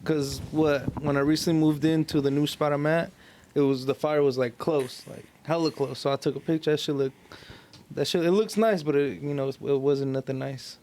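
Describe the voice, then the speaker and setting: A man's voice: a little high, steady tone, moderate pace, darkish tone, slight background noise. A man with an American accent in casual conversation, speaking in a laid-back, slangy and profane way as a podcast co-host. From California Central Coast, United States. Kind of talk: casual chat.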